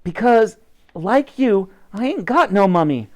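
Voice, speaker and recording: A storyteller voicing a brave young orphan girl who pleads and calls out in a high voice. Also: lively intonation, a little slow, close to an everyday voice. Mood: pleading.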